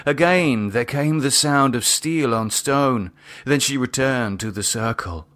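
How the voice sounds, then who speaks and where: A man reads a horror novel aloud as an audiobook narrator. His delivery is slow and measured, with dramatic storytelling weight at a medium male pitch. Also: a bit bright.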